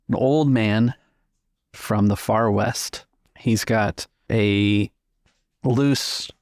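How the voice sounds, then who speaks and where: A man's voice: lowish voice, no echo, studio quality. A man speaking as game master and podcast host, narrating for a tabletop game show. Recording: music bed behind the voice. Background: music.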